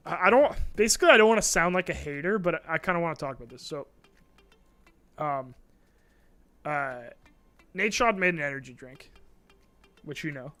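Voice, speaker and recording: A man's voice: a little high, lively intonation, normal speed, long pauses, a bit bright, soft background music. A man co-hosting a live stream, chatting casually with lots of hesitations and the odd swear. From Canada. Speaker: co-host. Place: studio.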